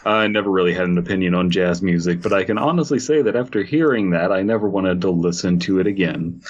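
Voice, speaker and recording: A man's voice: deep voice, fast, muffled recording. A man co-hosting a podcast in casual chat and story reading, blunt, irreverent and profane. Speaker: podcast co-host. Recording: clear background noise with clicks.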